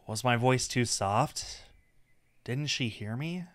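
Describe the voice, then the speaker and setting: A man's voice: medium pitch, slow pace, dry recording, close to an everyday voice. A man voicing a shy, curious rabbit-eared student who narrates a visual novel in a hesitant, wry way. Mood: timid.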